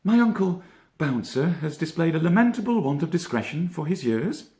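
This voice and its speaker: A man's voice: fairly high pitch, big pitch swings, moderate pace, a little mellow, roomy sound, pitched above the performer's own voice. A man playing a level-headed, slightly pompous young rabbit in a children's storybook, wordy and reflective. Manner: reflective, wordy, self-important.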